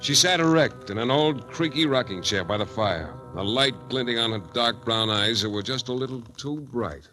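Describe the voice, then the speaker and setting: An actor playing a tough, wry private detective in slangy hard-boiled 1940s radio style. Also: male voice, mid-range voice, animated, normal speed, hardly any pauses, sharp tone, rough recording. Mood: brooding narration. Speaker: lead actor and narrator. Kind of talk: 1940s radio detective acting with first-person narration. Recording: 1940s radio recording, music behind.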